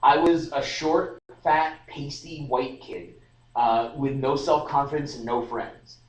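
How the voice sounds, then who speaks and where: A man's voice: medium pitch, fairly even intonation, takes it slowly. A young man talks loosely, restarting his sentences and leaning on fillers. His voice sits in the middle range and comes from some distance off the mic. The room gives it a hollow echo. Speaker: podcast host. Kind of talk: casual discussion. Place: room at a student society meeting. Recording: roomy live stream audio, distant mic.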